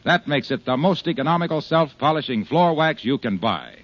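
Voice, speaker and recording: A man's voice: a little high, lively intonation, brisk. A man announcing in a polished, emphatic 1950s radio commercial style. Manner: polished, emphatic sales delivery. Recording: muffled old radio recording.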